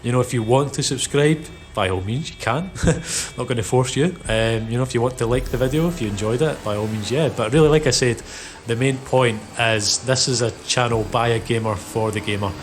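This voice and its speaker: A man's voice: medium pitch, brisk, slight background noise. A Scottish man in his thirties hosting his gaming channel, talking loosely and easily with plenty of fillers as he plays. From Scotland. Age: 30s. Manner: rambling, lots of "you know" and "um" fillers; laid-back, easygoing. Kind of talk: casual gameplay commentary.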